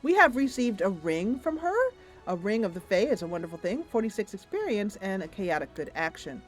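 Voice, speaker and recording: A woman streaming a roleplay game, narrating the story and chatting casually with viewers in an animated storytelling manner. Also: mid-range voice, brisk, soft background music.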